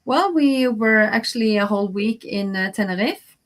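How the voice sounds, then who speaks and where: A woman's voice: fairly high pitch, expressive. A Norwegian woman, a freelance translator, speaking as a guest on a video call, hesitant with many fillers. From Norway. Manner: slow, hesitant, many "uh"s, laughs easily. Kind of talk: interview answers.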